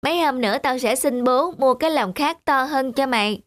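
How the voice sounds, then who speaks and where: A woman voicing an earnest, timid, affectionate young boy in a cartoon, in a soft-hearted, hesitant manner. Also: sits high, brisk, close to an everyday voice. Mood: tender, pleading. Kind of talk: Vietnamese cartoon dubbing.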